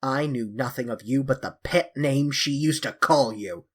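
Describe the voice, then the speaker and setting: A grim sailor bent on revenge in a storybook reading, as a male narrator puts him on. He speaks loudly in short, threatening commands. His voice has a rough, husky edge. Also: medium pitch, moderate pace. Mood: menacing. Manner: loud, threatening, clipped commands. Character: vengeful sailor man, grim and menacing. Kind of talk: audiobook character voice.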